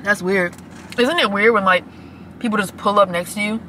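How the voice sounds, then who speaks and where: A woman's voice: mid-range voice, normal speed, pushed and bright, slight background noise. A woman of twenty chatting to her phone camera from a parked car, gushing and casual, often saying y'all. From Michigan. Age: 20s. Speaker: vlogger.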